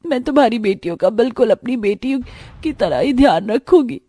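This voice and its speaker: A woman's voice: fairly high pitch, expressive, a little fast, dark tone, no echo, clean studio sound, voice pushed up. A woman voicing a devoted, earnest village mother of many daughters in a cartoon story. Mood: pleading, reassuring.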